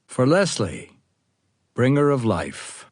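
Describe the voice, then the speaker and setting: A man's voice: mid-range voice, very animated, slow. A man with an American accent narrating a first-person account for an audiobook, as an author reading his own writing.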